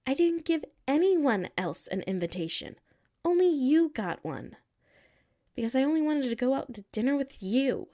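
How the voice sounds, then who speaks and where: A woman's voice: sits high, sing-song, moderate pace, dark tone. A woman playing a hurt, defensive tsundere coworker with a secret crush in a roleplay audio, exasperated and emphatic, dropping into sulky murmurs. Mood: exasperated, flustered. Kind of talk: roleplay audio acting, one side of a conversation.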